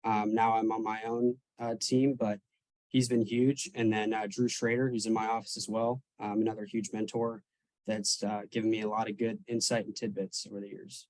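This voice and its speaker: A man in his twenties, a real estate agent, answering interview questions over a video call, relaxed, with frequent ums and restarts. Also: fairly low pitch, moderate pace, dry recording, low-quality recording. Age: 20s.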